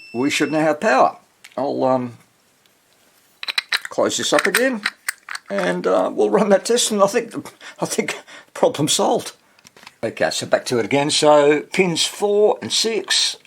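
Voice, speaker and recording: Male hobbyist presenter for a workbench repair video: unhurried, lively rise and fall in pitch, talking haltingly to himself while working. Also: creaky, slight background noise. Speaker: hobbyist radio restorer, presenter. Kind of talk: casual hands-on repair narration, thinking aloud.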